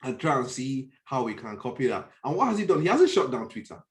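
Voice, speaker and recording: A man's voice: mid-range voice, sing-song, a little fast. A man with a Nigerian accent in a conversational discussion, often stopping to search for words and restate his point.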